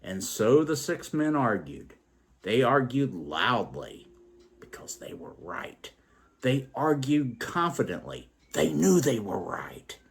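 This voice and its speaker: Slow, theatrical male storyteller with lively pitch. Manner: theatrical, emphatic, deliberate. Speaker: storyteller and host. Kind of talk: dramatic poetry recital and moral reflection.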